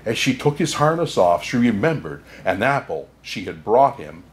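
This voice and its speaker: A man playing a kindly, jolly old Santa Claus, reading a children's storybook aloud in an expressive way. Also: on the low side, slight background noise. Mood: gentle storytelling. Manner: unhurried, chuckling asides, expressive storytelling.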